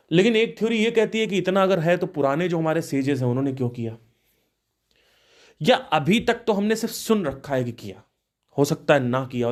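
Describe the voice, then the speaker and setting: A man of thirty hosting a podcast, musing aloud on spiritual ideas with restarts and rhetorical questions. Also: on the high side. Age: 30s. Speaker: podcast host. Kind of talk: reflective spiritual talk.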